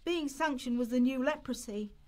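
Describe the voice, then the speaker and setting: A woman's voice: sits high, moderate pace, darkish tone, lightly acted. A woman playing an anxious, despairing young woman on benefits, confiding her story in a radio play rehearsal. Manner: confessional first-person storytelling.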